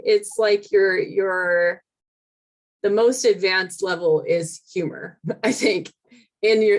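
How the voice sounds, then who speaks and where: A woman guest on a podcast, a teacher and comedian, talking casually and thoughtfully with many fillers and restarts. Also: mid-range voice. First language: English. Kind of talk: casual interview chat.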